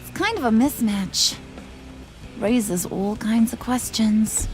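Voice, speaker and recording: A flirty, unhinged villainess from a video game, high in pitch and swinging widely as she teases. She takes her time with slangy, sing-song menace, with light music behind her. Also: lively intonation, takes it slowly, a little mellow, low-quality recording. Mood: sly, probing. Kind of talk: animated video game acting.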